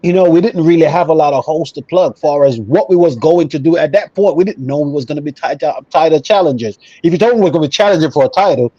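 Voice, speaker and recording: A man's voice: fairly high pitch, quick pace, hardly any pauses, mellow, dry recording, lo-fi sound. A man talking casually and emphatically as an opinionated football fan on a panel show, repeating himself for emphasis. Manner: emphatic, repetitive, conversational.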